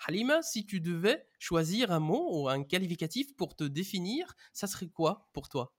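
A man's voice: sits high, very animated, moderate pace. Man hosting a language podcast. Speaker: podcast host. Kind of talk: scripted intro then interview questions.

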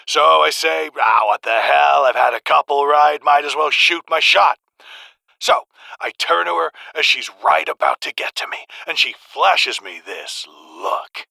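An actor playing a rattled, crude bar patron calling a radio show, slangy, halting and emphatic. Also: male voice, fairly high pitch, animated, vocal fry, pushed and bright, dry recording, exaggerated voice. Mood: cocky. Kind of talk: audio drama acting.

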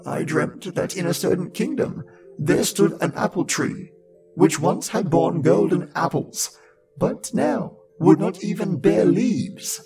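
A man voicing a wily old grandmother in a storybook tale, creaky, coaxing and drawing out the words. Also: sits high, expressive, slow pace, music in the background, exaggerated voice, rough recording. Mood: coaxing, sly. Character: devil's old grandmother, wily, soothing, scolding old woman.

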